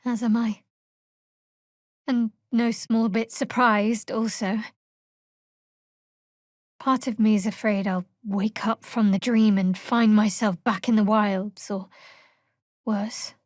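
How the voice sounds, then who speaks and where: An actress playing a guarded, wry young half-elf cleric in a fantasy video game, speaking in a measured, dry, slightly haughty way. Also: female voice, medium pitch, fairly even intonation, no room sound, close to an everyday voice. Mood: earnest, reflective. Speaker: video game character voice actor. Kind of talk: scripted fantasy game dialogue.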